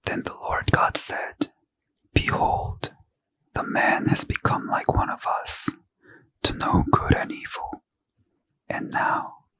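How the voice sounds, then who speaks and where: A man softly whispering a Bible reading in ASMR style, close to the mic. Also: dry recording, rough recording. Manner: slow soft whisper, unvoiced throughout. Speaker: reader.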